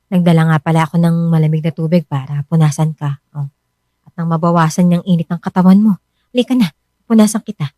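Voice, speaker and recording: A Filipino actress playing a worried, suspicious wife who nags and scolds in a Tagalog radio drama. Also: female voice, on the low side, fairly even intonation, quick pace, warm tone, dry recording, studio quality, natural voice. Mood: caring, nagging. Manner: insistent, scolding.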